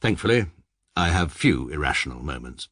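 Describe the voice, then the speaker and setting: An actor playing a pompous, precise middle-aged English barrister, narrating his own life deadpan in an upper-class accent for a radio comedy drama. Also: very deep voice, moderate pace, heavy background noise. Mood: dry, self-satisfied.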